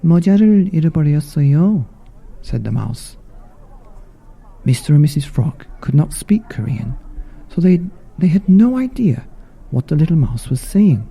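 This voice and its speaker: Male bedtime story narrator: very soft dark tone, gravelly, scripted children's reading. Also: on the high side, sing-song, a little slow, long pauses. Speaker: podcast narrator.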